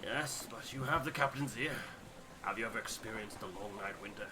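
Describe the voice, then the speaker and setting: Man voicing a breathy, wistful old polar explorer. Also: lowish voice, a little fast, noisy background, close to an everyday voice. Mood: earnest warning. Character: older weathered male polar expert, wistful awe at the ice. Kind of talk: character voice acting in a game playthrough.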